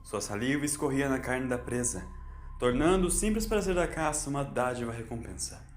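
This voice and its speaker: A man's voice: mid-range voice, animated, moderate pace, roomy sound, slight background noise. A man with a Brazilian accent reading scripted fiction as a dramatic narrator.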